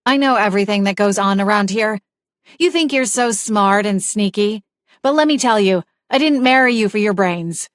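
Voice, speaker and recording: A woman's voice: mid-range voice, moderate pace, no room sound, lightly acted. A woman voicing a bitter, scheming middle-aged wife who speaks sharply and with scorn, in a dry recording. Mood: scornful.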